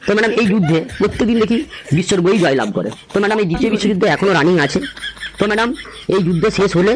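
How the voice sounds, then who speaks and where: A man's voice: high-pitched, animated, very fast, a crowd in the background. A man voicing a cheeky schoolboy prankster in a comic cartoon, rambling through silly answers and calling the teacher madam.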